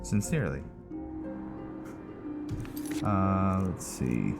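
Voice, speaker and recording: A man's voice: sits low, lively intonation, drawn-out words, gravelly, over loud music. A man giving casual, laid-back let's-play commentary on a video game, thinking aloud as he plays. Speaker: gaming host, roleplaying player.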